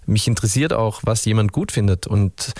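A man's voice: lowish voice, moderate pace, darkish tone, dry recording. A man with an Austrian accent, a musician answering radio interview questions in a thoughtful, rambling way with many restarts. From Upper Austria. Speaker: musician guest.

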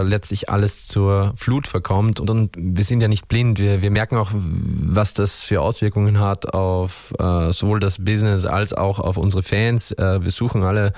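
A man's voice: lowish voice, fairly even intonation, moderate pace, few pauses, darkish tone, dry recording, clean studio sound. A man with an Austrian accent, a musician answering radio interview questions in a thoughtful, rambling way with many restarts. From Upper Austria. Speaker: musician guest.

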